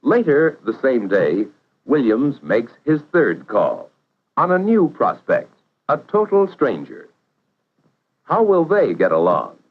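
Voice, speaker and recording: A man narrating a 1950s educational film in a measured, instructive way, posing questions to the viewer. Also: mid-range voice, huge pitch swings. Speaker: narrator of vocational training film. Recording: dull vintage 1950s film soundtrack.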